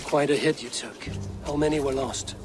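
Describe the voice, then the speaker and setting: An actor voicing a steady, blunt Viking wanderer in a video game cutscene, talking in a calm, direct way. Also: male voice, medium pitch, moderate pace, over loud music, close to an everyday voice. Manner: calm, direct and plain-spoken.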